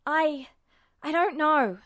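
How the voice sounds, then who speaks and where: A woman's voice: high voice, slow pace, fairly bright tone, dry recording, clean studio sound. A woman voicing a brash, hot-tempered young man, blurting his words emphatically in an audiobook. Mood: bewildered insistence. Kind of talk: audiobook character voice.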